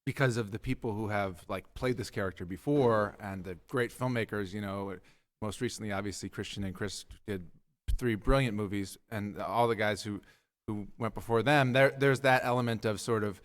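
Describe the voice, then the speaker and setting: Actor guy at a press conference, slow and careful, lots of uhs. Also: on the low side. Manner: measured, hesitant with fillers.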